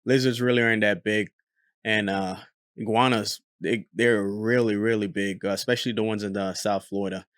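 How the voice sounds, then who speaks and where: A man's voice: lowish voice, moderate pace, no room sound, clean studio sound. Man hosting an aviation channel. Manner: relaxed, frequent filler "uh". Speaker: host, former military air traffic controller. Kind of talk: casual commentary on radio recordings.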